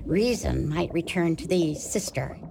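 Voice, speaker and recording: A woman playing a stern, scornful witch in an amateur radio drama, creaky and theatrical, as if chanting spells. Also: low-pitched, huge pitch swings, measured pace, exaggerated voice. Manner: theatrical, incantatory. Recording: clear storm-like background noise.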